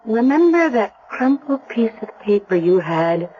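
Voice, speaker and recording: An American actress playing a caring, earnest, gently persistent woman doctor in a radio drama. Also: on the high side, big pitch swings, measured pace, slight background noise. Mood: probing. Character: caring, earnest, probing female hospital doctor. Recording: dull vintage radio broadcast.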